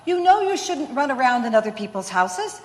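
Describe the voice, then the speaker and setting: A woman's voice: high-pitched, sing-song, a little fast, long reverb tail. A woman playing a caring young mother. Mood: tender. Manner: tender, coaxing. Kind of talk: radio play acting.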